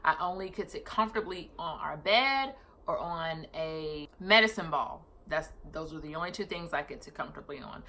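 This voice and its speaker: A woman's voice: low-pitched, expressive, moderate pace, bright, forceful tone. A woman in her thirties telling a personal story on her vlog, chatty and casual, often correcting herself mid-sentence. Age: 30s. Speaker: vlogger.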